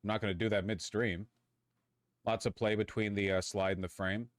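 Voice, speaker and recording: Lowish-voiced man musing in a dry room. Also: little pitch movement, normal speed, no echo. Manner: musing, thinking aloud. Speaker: streamer. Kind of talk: casual livestream chat.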